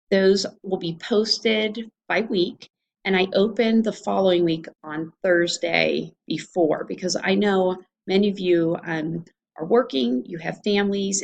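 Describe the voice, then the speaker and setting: A woman's voice: fairly low pitch, vocal fry. A woman with an Ohio Valley American accent, an instructor talking students through an online course in an informal, conversational, explanatory way. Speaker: college instructor. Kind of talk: informal screencast course walkthrough.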